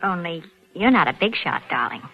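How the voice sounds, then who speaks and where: An actress playing a sly, unscrupulous bank worker and sweetheart in a 1940s radio crime drama, with a wry, knowing manner. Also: female voice, lowish voice, very animated, normal speed. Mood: wry, doubtful. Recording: dull vintage 1940s radio recording.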